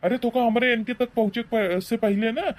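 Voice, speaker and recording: A man's voice: extremely high pitch, fairly even intonation, normal speed, a little hiss or hum. A man playing a blustering, defensive old village husband in a rural comedy cartoon, scolding and rambling in an Awadhi accent. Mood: indignant. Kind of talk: rustic comedy cartoon acting.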